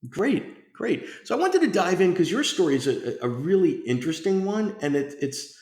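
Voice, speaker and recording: A man's voice: sits high, big pitch swings, normal speed, a little mellow. Man, podcast host, rambling, many restarts, roomy echoey room. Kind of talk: podcast interview. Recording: roomy echo.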